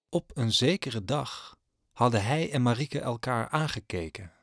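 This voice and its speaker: Male narrator for audio drama, scripted storytelling, slightly low voice, dry close recording. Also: no echo.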